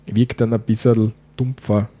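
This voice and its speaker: An Austrian man talks in a relaxed podcast chat, his rolling R and a touch of dialect running through his speech. His voice is dark and mellow, with a husky edge. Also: lowish voice, unhurried. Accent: Austrian German. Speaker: podcast co-host. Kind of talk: casual chat.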